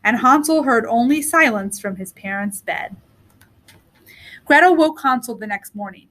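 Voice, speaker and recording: A woman with a distinctly high voice reads a story aloud. Her narration is scripted, with deliberate and expressive phrasing suited to a storybook. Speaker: story reader.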